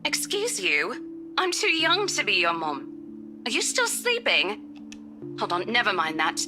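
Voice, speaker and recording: An actress playing a bossy, brisk young female office colleague in a visual novel game. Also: mid-range voice, huge pitch swings, over music. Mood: exasperated, urgent.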